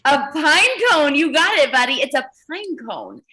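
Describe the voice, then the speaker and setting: Female early-years teacher, high and very lively pitch, animated read-aloud style, encouraging. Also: a little slow. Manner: animated, encouraging praise and questions; repeats children's answers back. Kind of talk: interactive children's read-aloud lesson.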